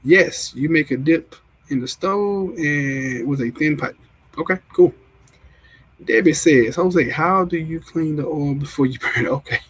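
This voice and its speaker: A man with a drawl talks loosely to his livestream viewers. His voice is husky and sits a little high, and he circles back and restarts his sentences as he goes. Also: measured pace, a bit bright. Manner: rambling, repeats himself, restarts often. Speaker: livestream host. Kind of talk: casual livestream chat, reading viewer comments.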